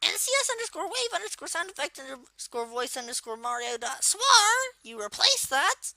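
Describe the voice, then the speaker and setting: A woman voicing a young male cartoon turtle villain while giving an informal, hesitant, unscripted software tutorial. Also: sits high, big pitch swings, moderate pace, exaggerated voice. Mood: explaining, casual. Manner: hesitant, informal, rambling delivery. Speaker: tutorial presenter.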